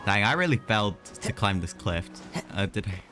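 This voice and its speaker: A man's voice: fairly low pitch, expressive, normal speed. A young man livestreaming a game with casual, chatty, offhand joking commentary. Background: game music and dialogue.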